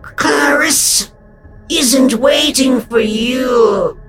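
A cold, menacing ghost of a murdered young woman, played by a woman, speaking from beyond the grave in an audio horror story. Her voice sits very high and drags out each word, slow and cruel, often rising to a shout. Music plays clearly underneath. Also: heavily acted.

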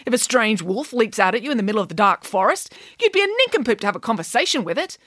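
A woman's voice: a little high, big pitch swings, fast, a bit bright, dry recording, studio quality. A woman reading a storybook voices a confident, grandiose female pig nanny, breezy and matter-of-fact.

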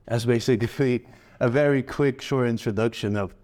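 A man in his thirties from Morocco, a guest trader answering in a relaxed, rambling way. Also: medium pitch, normal speed. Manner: relaxed, rambling, frequent fillers and restarts. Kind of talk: casual interview answers.

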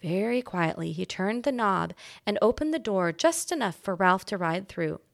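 A woman reading a children's chapter book aloud as a guest reader, with expressive storybook narration. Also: medium pitch, normal speed, vocal fry, dry recording, studio quality.

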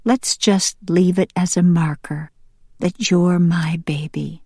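A woman voicing a gentle, weary, tender mother bird in a soft, half-whispered audiobook voice. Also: lowish voice, steady tone, takes it slowly, slightly rough, no room sound, studio quality, full character voice. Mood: tender, sad. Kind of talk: audiobook character voice.

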